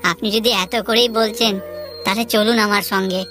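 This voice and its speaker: Humble labourer for a moral tale, played by a woman: deferential, earnest, higher-pitched, clear music underneath. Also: fairly even intonation, moderate pace, bright, forceful tone, close to an everyday voice. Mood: humble, helpful. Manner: deferential, polite. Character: humble, honest poor village labourer man, eager to help. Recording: music behind the voice.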